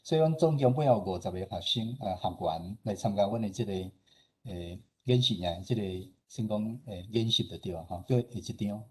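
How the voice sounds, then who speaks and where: A man's voice: lowish voice, expressive, fairly quick, darkish tone, slightly rough. A man with a Taiwanese accent giving an informal, explanatory presentation as a radio station head, often tagging his sentences with particles.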